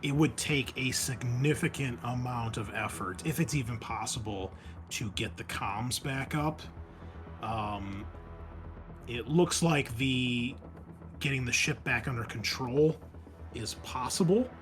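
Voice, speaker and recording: Guy game mastering, medium pitch, kinda hesitant explaining the scenario, soft music underneath. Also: slow. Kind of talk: explaining a tabletop roleplaying scenario to players. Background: background music.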